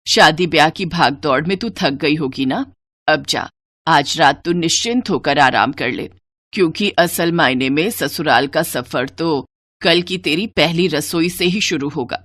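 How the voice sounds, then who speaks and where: Woman as a lower-voiced homely mother-in-law. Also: measured pace, fairly bright tone, no echo. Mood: motherly, instructing. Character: kindly middle-aged mother-in-law, homely and commanding.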